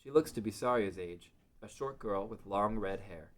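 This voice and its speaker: A man serves as narrator for a fantasy table read. His voice is fairly low, and he reads scripted present-tense story narration. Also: moderate pace.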